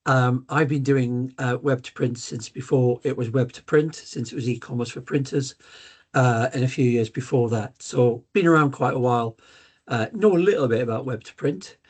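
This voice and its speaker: A man's voice: mid-range voice, moderate pace, husky. A middle-aged man with a Newcastle accent hosts a live business webinar as sales director. He talks in a loose, conversational way, with many ums and uhs. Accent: Geordie (Newcastle). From Newcastle, England.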